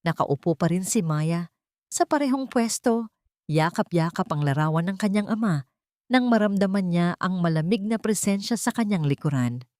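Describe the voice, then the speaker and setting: A woman's voice: fairly low pitch, animated, normal speed, darkish tone, no echo, studio quality. A woman narrating a scripted, dramatic audio story.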